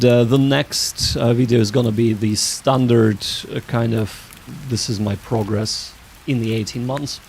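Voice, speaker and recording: A man hosting a study livestream, chatting casually in a halting, hesitant way. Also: medium pitch, darkish tone, slight background noise.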